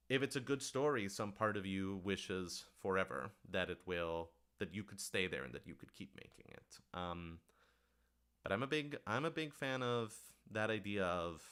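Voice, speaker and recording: A man's voice: sits low, a bit bright. A man hosting a casual livestream Q&A as a writer-director, rambling and thinking aloud as he talks to his audience.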